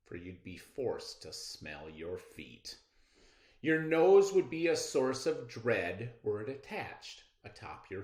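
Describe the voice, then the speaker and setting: Animated male teacher, unhurried and roomy. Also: medium pitch. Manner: expressive, instructive, emphatic phrasing. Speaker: elementary reading teacher. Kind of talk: poem read-aloud and lesson for children.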